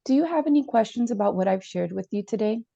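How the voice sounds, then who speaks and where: A woman's voice: a little high, lively intonation, fast, creaky, dark tone, no room sound, muffled recording. A woman playing a student therapist in a role-played counseling session, explaining policies formally and hesitantly, with many fillers. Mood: calm, formal.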